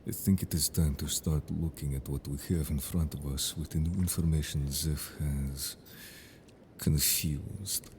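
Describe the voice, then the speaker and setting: A man voicing a stern, protective merfolk guard in an audio drama, speaking in a measured, formal, serious way. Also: very deep voice, fairly even intonation, darkish tone, slight background noise. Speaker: voice actor in an audio roleplay. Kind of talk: scripted audio drama roleplay.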